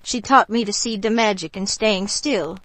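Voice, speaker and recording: A woman playing a warm local bayou woman in a radio drama, telling stories in an unhurried, folksy, gently mystical way. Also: mid-range voice, no room sound, natural voice. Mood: fond, reminiscing.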